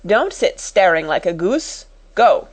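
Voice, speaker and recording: A woman's voice: mid-range voice, very animated, unhurried. A woman voicing a furious, cold middle-aged schoolmistress in a storybook, with a fierce, bitterly ironic, commanding manner.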